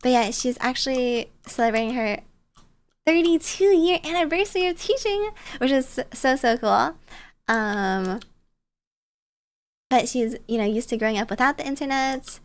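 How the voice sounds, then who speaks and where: A woman with a high voice chats casually on a livestream, telling stories as she goes. Her delivery is bubbly and playful, with a cutesy touch. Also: lively intonation, normal speed. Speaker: streamer. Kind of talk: casual storytelling chat on a livestream.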